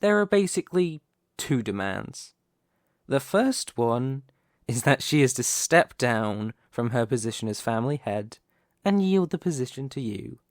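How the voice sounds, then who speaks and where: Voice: mid-range voice, sing-song, dry recording, clean studio sound. A performer playing a smug, possessive crime boss in an audio roleplay, speaking with mocking, theatrical menace. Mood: commanding. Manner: mocking, theatrical, slow deliberate menace with sudden swells. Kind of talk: ASMR audio roleplay, dramatic monologue.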